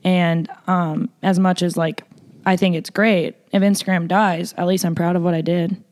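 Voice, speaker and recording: A woman's voice: on the low side, flat delivery, moderate pace. Blunt, filler-heavy young woman. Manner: loud, blunt, frequent "like" fillers. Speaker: podcast guest, makeup artist. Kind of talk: casual interview chat.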